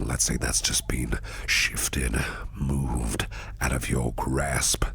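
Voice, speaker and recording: A man playing a sinister, flirtatious businessman boss in an ASMR roleplay, speaking close to the mic in a gravelly, breathy near-whisper with a teasing, menacing purr. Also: very deep voice, fairly bright tone, a little hiss or hum, heavily acted.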